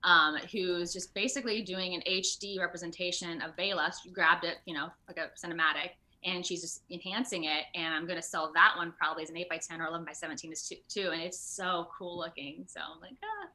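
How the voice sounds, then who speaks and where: A woman speaking as a voice actor guest in a casual, chatty interview, expressive and thinking aloud as she goes. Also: lowish voice, brisk, few pauses, poor audio. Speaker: guest, video game voice actor. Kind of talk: casual animated interview chat. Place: remote video call.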